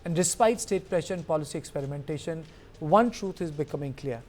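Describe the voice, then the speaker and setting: A man's voice: on the high side, expressive, brisk, a little mellow, slight background noise. A man reading a scripted news voice-over in a formal, emphatic manner. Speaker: news narrator.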